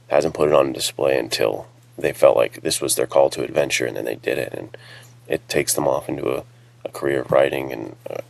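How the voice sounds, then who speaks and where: A man's voice: very deep voice, gravelly, a little mellow, no room sound. A man chatting casually and earnestly as a podcast co-host, in an informal way. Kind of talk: casual chat.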